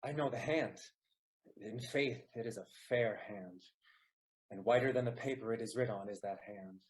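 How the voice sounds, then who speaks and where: A man playing an ardent young gentleman lover and schemer in a Shakespearean audio play. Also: lowish voice, moderate pace, lightly acted, poor audio. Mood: fond, expectant.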